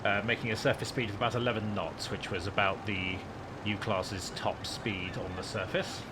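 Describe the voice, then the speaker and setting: A man's voice: on the low side, steady tone, moderate pace, background noise. A man, hosting and commentating on a game playthrough. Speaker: host of a game playthrough channel.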